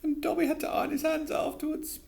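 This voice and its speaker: Dobby, a squeaky miserable house elf. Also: male voice, little pitch movement, fast, gravelly, a little mellow. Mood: miserable, pleading. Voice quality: high, squeaky and pleading. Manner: speaks of himself in third person.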